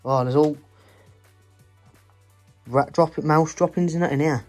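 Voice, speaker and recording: A man filming himself with a phone as he explores an abandoned house indoors, talking low and hurried to his viewers. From England. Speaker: urban explorer filming a walkthrough. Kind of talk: casual handheld vlog commentary. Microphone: handheld phone. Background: background music.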